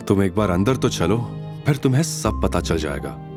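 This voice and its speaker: A man voicing a calm, determined young hero. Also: sits low, normal speed, over music. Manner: calm, assured.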